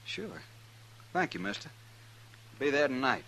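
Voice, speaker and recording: Sharp, surly western gambler. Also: male voice, medium pitch, sing-song, measured pace, exaggerated voice. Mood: grudgingly eager. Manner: belligerent, whining, rough-spoken. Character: surly, aggrieved, down-on-his-luck gambler, troublemaking man. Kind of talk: 1950s radio western acting. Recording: faintly noisy old radio recording.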